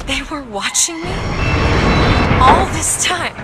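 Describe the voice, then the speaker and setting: A high, lively voice of a young woman locked in a tower, asking anxious, urgent questions. Loud score swells underneath this video game cutscene. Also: slow pace, loud music behind the voice. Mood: anguished. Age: young adult. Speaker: game character.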